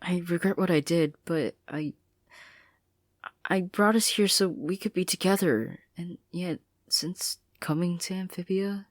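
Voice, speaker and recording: A woman acts out a nervous, devoted teenage girl in an audio story. The voice is pitched up, breathy and hesitant, as if pleading to be forgiven. It is recorded close and dry. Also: a little slow, no echo. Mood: anxious, regretful. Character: anxious, apologetic, tearful teenage girl, devoted to friends.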